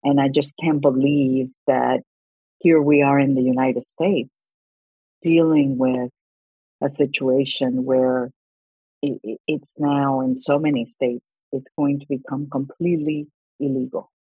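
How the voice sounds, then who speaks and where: Low husky-voiced woman candidate, from Colombia. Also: little pitch movement, measured pace, mellow, no room sound, highs cut off. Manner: earnest, repeats words when restarting. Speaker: congressional candidate. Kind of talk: political interview.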